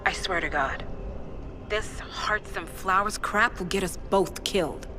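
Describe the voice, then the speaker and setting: A woman's voice: mid-range voice, measured pace, lightly acted. An actress playing an exasperated, urgent female agent in a game cutscene. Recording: game audio with music.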